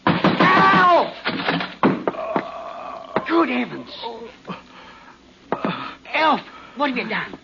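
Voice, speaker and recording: An actor playing a matey, nosy Cockney pub regular, garrulous and slangy, in 1940s radio drama style. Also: male voice, very high-pitched, huge pitch swings, very slow, vocal fry, pauses often, background noise, heavily acted. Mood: alarmed. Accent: Cockney English. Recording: muffled 1940s radio recording.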